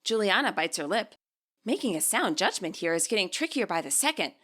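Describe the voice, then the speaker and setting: A woman reading scripted story narration as its author, in an expressive way. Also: medium pitch, fairly quick.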